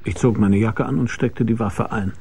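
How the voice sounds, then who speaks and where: Man playing a noir private eye, creaky, relaxed tone, sardonic, world-weary, radio drama narration. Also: on the low side, moderate pace, a little hiss or hum, natural voice. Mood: terse narration. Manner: dry, laconic, sardonic. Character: hard-boiled, world-weary male private detective, narrating.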